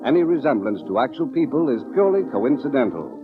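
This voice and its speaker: A man reading scripted credits as a 1940s radio announcer, formal and measured. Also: mid-range voice, sing-song. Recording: dull vintage radio recording, loud organ behind. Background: organ music.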